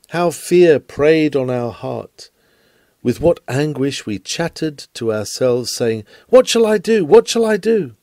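Earnest man preaching, very animated intonation. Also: mid-range voice, dry recording. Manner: earnest, rhetorical, emphatic delivery. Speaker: sermon reader. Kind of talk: reading a 19th-century sermon aloud.

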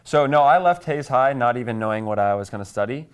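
A man's voice: mid-range voice, animated, quick pace, clear, resonant tone. A man in his twenties from Kansas with an American accent, answering conversationally as an interview guest. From Kansas, United States. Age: 20s. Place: small TV studio, indoors. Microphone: lapel mic.

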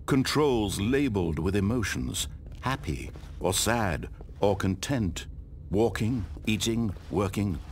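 Pompous omniscient game narrator, low voice, sweeping theatrical intonation, condescending, storybook delivery. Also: male voice, noisy background, heavily acted. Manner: grandiose, dramatic, condescending. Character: omniscient storyteller narrator, pompous and controlling middle-aged man.

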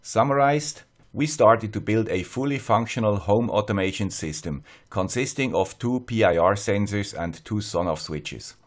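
A man with a fairly low voice and a Swiss accent narrates a scripted tutorial. He explains things step by step in a measured, plain-spoken manner. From Switzerland. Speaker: host of an electronics tutorial channel.

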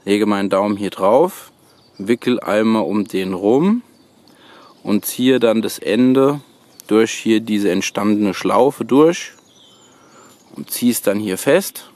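A man giving a hands-on how-to demonstration outdoors, in halting, hesitant phrases. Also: fairly low pitch, darkish tone, no echo. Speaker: host of a bushcraft tutorial channel. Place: outdoors on a woodland floor, birdsong behind.

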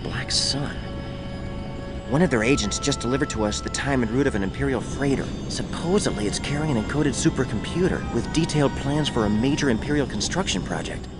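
A young rebel hero played by a man speaks in a middle pitch, serious and earnest. It is game cutscene dialogue with clear music behind. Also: animated, a little fast, lightly acted. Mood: serious concern.

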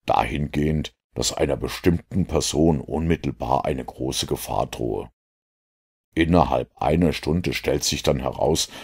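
A cool, unruffled detective from an audiobook, acted out by a male reader. The voice is very low and husky, with dry, deliberate delivery and total self-assurance. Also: heavily acted.

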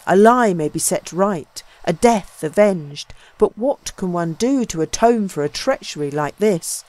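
A reader doing a proud Corsican sea captain, an older man, with a fierce, declaiming voice. He pushes his words out loud and bitter, with a steady mid-range pitch behind the anger. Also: natural voice.

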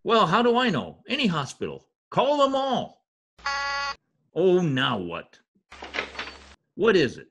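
A man's voice: sits high, wildly swooping pitch, slow pace, pauses often, fairly bright tone, slightly rough, heavily acted. A middle-aged man playing an anxious, pompous, theatrical husband in old-time radio comedy style. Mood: frantic. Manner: dramatic, exclaiming. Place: home office, over video call. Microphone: video call computer mic.

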